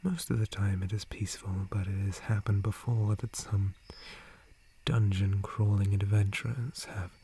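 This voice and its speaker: A man voicing a gentle, polite dryad guardian in a breathy, formal whisper for an ASMR roleplay. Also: on the low side, flat delivery, normal speed, slight background noise, natural voice. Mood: mild, curious. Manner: formal, courteous, hesitant, softly hushed. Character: gentle, polite male dryad, forest guardian tree spirit.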